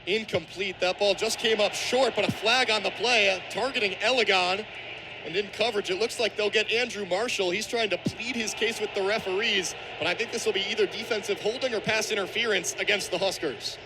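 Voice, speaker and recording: High-pitched young man calling football, noisy stadium. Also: a little fast. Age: young adult. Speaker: play-by-play sports commentator. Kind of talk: live football radio play-by-play. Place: outdoor football stadium broadcast. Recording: stadium broadcast with loud crowd-like ambient noise.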